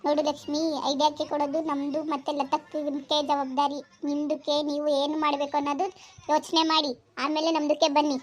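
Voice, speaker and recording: A woman's voice: sits high, completely flat delivery, a little fast, lightly acted. A woman playing a blunt, cheeky neighbour woman who mixes Hindi words into broken Kannada.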